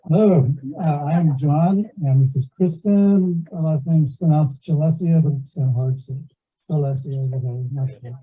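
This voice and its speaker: A man's voice: fairly high pitch, normal speed, dark tone, slightly rough. A man giving a casual, rambling introduction of himself, on muffled meeting audio. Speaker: cabin owner introducing himself.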